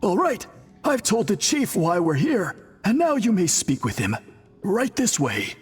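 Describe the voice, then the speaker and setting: A dutiful priest in an anime game, very husky and on the high side. He explains things formally and courteously, with animated swings in pitch. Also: a little slow, faint music behind, heavily acted. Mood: helpful.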